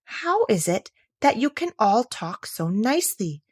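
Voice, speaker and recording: A woman's voice: a little high, sing-song, a little slow, husky, dry recording, pitched above the performer's own voice, full character voice. A polite little girl from a storybook, voiced by a woman. She sounds curious and a touch timid, with a questioning way of talking. The recording is dry and close.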